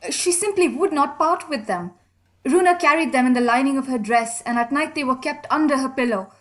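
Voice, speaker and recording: Casting: young heiress at an inquest, high voice, earnest and grieving, formal period radio drama. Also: female voice, fairly quick, fairly bright tone. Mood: earnest, composed.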